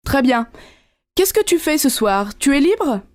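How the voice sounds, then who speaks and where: A woman with a fairly high voice reads her dialogue lines clearly and pleasantly. The take is dry and close to the mic. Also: sing-song, unhurried, dry recording. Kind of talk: scripted learner dialogue.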